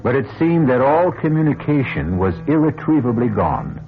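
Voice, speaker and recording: An actor playing a macabre storyteller host, narrating an old radio mystery in a theatrical, ominous way with deliberate phrasing. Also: male voice, mid-range voice, very animated, soft background music, close to an everyday voice. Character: macabre male storyteller host relishing doom. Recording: muffled old radio broadcast.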